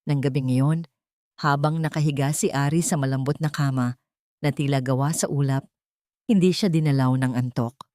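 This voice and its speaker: A woman's voice: deep voice, darkish tone, dry recording, clean studio sound. A woman narrator reading a scripted, dramatic audio drama story.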